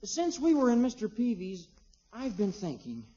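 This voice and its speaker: A man's voice: high voice, wildly swooping pitch, normal speed, warm tone, breathy edge, exaggerated voice. An actor playing an earnest, thrifty, preachy young American man in a 1950s radio comedy, speaking politely. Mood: sheepish, earnest. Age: young adult. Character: earnest, thrifty, priggish young man running household budget. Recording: muffled old radio recording.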